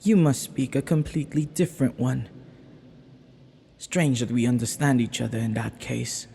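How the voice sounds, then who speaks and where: A man's voice: mid-range voice, gravelly, warm tone, a little hiss or hum, natural voice. A man playing an ancient banished villain, a magical being who is flirty, menacing and wistful, in a theatrical, musing audio roleplay monologue. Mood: curious, musing. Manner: theatrical, musing, unhurried with dramatic pauses. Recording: long echoing reverb.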